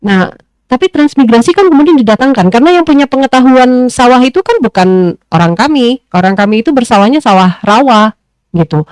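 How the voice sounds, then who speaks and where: A woman panelist speaking in a discussion, thoughtful and pausing often with fillers as she reasons aloud. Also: a little high, expressive, darkish tone, clean tone, no echo.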